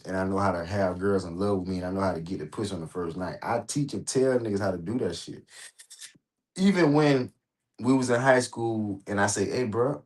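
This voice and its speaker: An American man talking casually on a livestream, animated and slangy, swearing often and repeating himself for emphasis. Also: lowish voice. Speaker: host reacting on a livestream. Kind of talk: casual rambling livestream talk.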